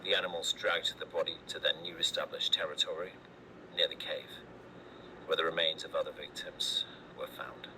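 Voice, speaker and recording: A man playing a clinical doctor who dictates a grim report in a formal, detached, deliberate way for a horror story. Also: rumbling low, steady tone. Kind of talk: scripted analog horror narration, recorded report. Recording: noisy analog horror tape.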